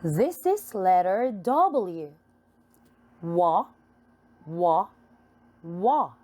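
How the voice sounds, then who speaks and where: A woman with a Filipino accent teaching phonics to young children, enunciating letters and words in a deliberate, repetitive, sing-song way. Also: on the low side, animated, dark tone.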